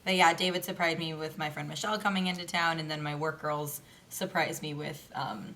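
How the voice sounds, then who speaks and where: A woman's voice: low voice, fairly even intonation, fairly quick, pushed and bright, slight background noise. A young woman co-hosting a casual podcast, chatty and opinionated, often laughing as she talks. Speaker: podcast co-host. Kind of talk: casual chat.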